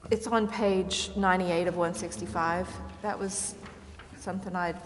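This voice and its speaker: A woman's voice: fairly low pitch, steady tone, measured pace. A woman asking informal questions as a council member at a meeting, hesitant and inquiring. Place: council chamber. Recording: reverberant hall with faint noise.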